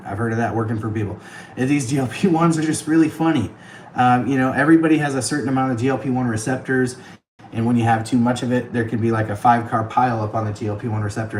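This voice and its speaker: A man's voice: mid-range voice, roomy sound, a little hiss or hum, rough recording. A man hosting a casual livestream, chatty and thanking viewers by name, laughing often, his voice a bit worn. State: voice getting worn. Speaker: livestream host. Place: on the road, streaming from an iPad. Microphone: tablet mic.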